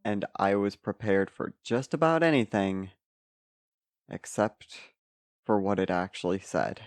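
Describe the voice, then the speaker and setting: A man playing a troubled, pessimistic man in an audio fiction monologue, confessing in a halting, hesitant way. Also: fairly low pitch, expressive, no room sound. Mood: anxious, hopeful.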